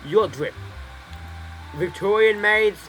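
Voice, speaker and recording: A man's voice: high-pitched, takes it slowly, a bit bright, faint music behind, low-quality recording. A man streaming a game, rambling through casual commentary, repeating himself and swearing freely. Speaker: gameplay streamer.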